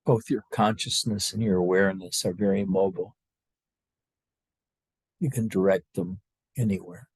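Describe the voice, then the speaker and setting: A man leading a guided meditation as a spiritual teacher, speaking to a group in a slow, halting, unscripted way with long pauses. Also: deep voice, fairly even intonation, a little mellow, slightly rough, no echo.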